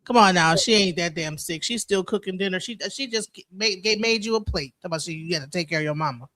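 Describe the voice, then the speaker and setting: A woman in her forties talking bluntly and profanely in a loud, mocking way during a casual discussion. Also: on the low side, fast, no room sound. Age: 40s.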